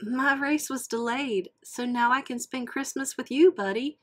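A woman's voice: high-pitched, unhurried, a bit bright, voice pushed up. A woman voicing an earnest, friendly young male race car in a storybook read-aloud. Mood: warm.

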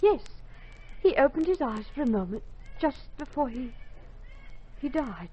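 A woman's voice: high voice, sing-song, measured pace, warm tone. A woman playing a devoted, anguished young army nurse in a 1950s radio drama. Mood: subdued grief. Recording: slightly noisy 1950s radio recording.

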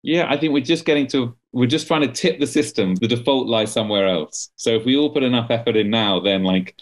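A man's voice: medium pitch, a little fast. A man in his thirties, a journalist guest, talking thoughtfully with frequent restarts over a video call from home. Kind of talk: casual interview conversation. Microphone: laptop webcam on video call.

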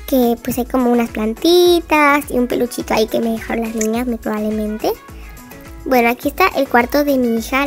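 A woman with a high, light voice narrates a house tour in a game. She talks in a chatty, gushing way, sprinkling in fillers as she goes. Soft music plays faintly underneath.

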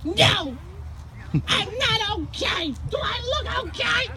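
A woman voicing a whiny, self-pitying male puppet creature with melodramatic, wailing delivery and drawn-out words in a live puppet show. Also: very high voice, animated, exaggerated voice. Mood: wailing, distraught. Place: outdoors on a lawn, behind puppet stage. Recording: outdoor recording with audience noise.

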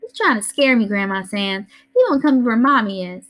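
A woman voicing a frightened little girl who scolds and threatens to tell on her brother, with a shrill, whiny voice. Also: lively intonation, moderate pace, fairly bright tone, faint music behind. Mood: wailing.

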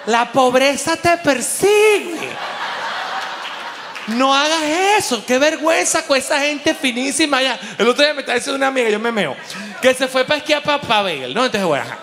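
A Venezuelan man doing animated, colloquial stand-up and audience banter on stage into a handheld mic, cursing freely. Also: very high-pitched, fairly quick. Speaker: stand-up comedian and show host. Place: on stage in a dark theater with audience. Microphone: handheld microphone. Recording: echoey theater hall, audible background noise.

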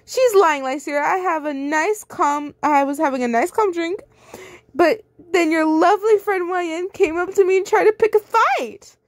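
A woman voicing a spiteful, lying young woman at a party, shrill and accusing. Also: moderate pace, no room sound, clean studio sound.

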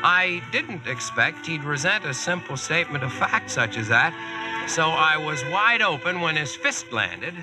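Lively, cocky young American veteran. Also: male voice, a little high, a little slow, music in the background, rough recording. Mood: storytelling. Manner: colloquial, wry, animated. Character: plain-talking young American ex-serviceman, cocky and storytelling. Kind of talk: 1940s radio acting.

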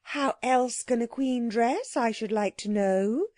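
A woman's voice: fairly high pitch, slow pace, a little mellow. A woman voicing an imperious, easily offended ancient queen in a storybook, with a commanding manner. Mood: haughty, injured. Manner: commanding, haughty.

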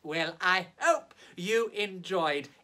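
A man performing as a drag storyteller, reading a children's picture book theatrically with exaggerated emphasis and drawn-out words. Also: high voice, expressive, bright, forceful tone. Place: small indoor set, on camera.

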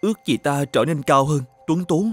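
Young cartoon hero, male actor: high, brisk, theatrical, blunt yet kind, over music. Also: close to an everyday voice. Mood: excited. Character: poor, blunt but kind young man in fairy tale. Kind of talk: cartoon fairy-tale dubbing. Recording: music behind the voice.

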